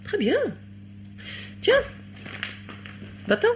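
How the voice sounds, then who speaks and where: An actress playing a cool, self-possessed mistress in a French radio mystery, patronizing and insinuating. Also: female voice, drawn-out words. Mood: condescending. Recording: dull, noisy vintage radio recording.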